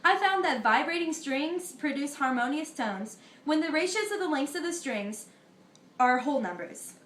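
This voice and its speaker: Earnest Greek philosopher, played by a teenage girl; high, roomy school-project sound. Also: lively intonation, a bit bright. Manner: earnest, recited, a little halting. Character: ancient Greek philosopher Pythagoras, an older man, earnest. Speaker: student in a school history project. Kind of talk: amateur mock interview, reciting facts.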